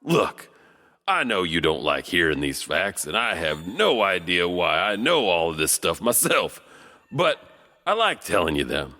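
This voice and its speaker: A man's voice: low voice, very animated, unhurried, bright, forceful tone. A man narrating as an enigmatic janitor host, telling spooky tales with eerie chuckles and a drawling delivery. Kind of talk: spooky scripted horror narration.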